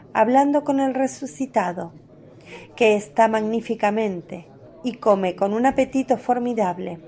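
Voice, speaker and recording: A woman narrating a scripted religious radio drama in a measured storytelling manner. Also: on the high side, warm tone.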